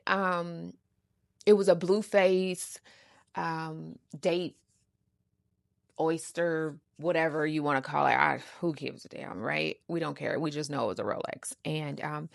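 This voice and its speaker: Sassy lady doing a casual storytime, kinda low voice w lots of fry, emphatic and slangy. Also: a bit bright, dry recording, clean studio sound. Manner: uses slang and swearing. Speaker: storytime podcast host.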